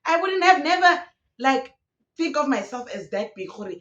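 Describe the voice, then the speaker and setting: A woman's voice: sits high, sing-song, moderate pace, a bit bright, reverberant, muffled recording. A young woman YouTuber, ranting passionately in casual commentary, recorded alone in a room. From South Africa. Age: young adult. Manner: passionate, ranting, mixing in local slang.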